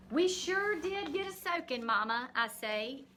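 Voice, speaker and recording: A woman teacher reading a picture book aloud expressively to young children, pausing to ask guiding questions and explain things simply. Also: sits high, lively intonation, pushed and bright, a little hiss or hum.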